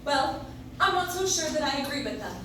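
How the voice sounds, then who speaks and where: Female actor as gentle, reproachful wife, radio drama. Boomy, noisy room recording. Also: lively intonation, fairly quick. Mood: gently reproachful. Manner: gentle, chiding. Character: gently chiding wife and mother. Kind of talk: old-time radio play acting on stage. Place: live stage in a coffee house. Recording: very echoey, distant, noisy audience recording.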